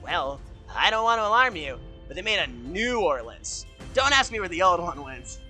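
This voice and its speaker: A man voicing a cocky, loyal, wisecracking teenage hedgehog hero with brash, joking delivery in an animated fan dub. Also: sits high, moderate pace, over music. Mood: defensive.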